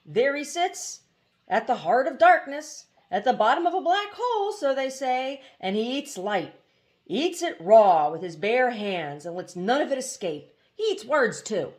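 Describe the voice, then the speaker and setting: A gloomy mechanical bird played by a woman reading a storybook laments in a raised, wailing pitch. The phrasing is fractured and odd, full of doom. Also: big pitch swings, a little slow, a little mellow. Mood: dread, wailing.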